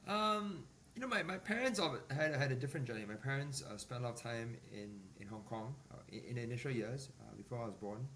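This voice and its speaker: A man in his forties from Singapore, a business executive answering podcast interview questions in a measured way with many fillers and restarts. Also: medium pitch, expressive, pushed and bright. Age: 40s. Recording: distant mic with faint ambient noise.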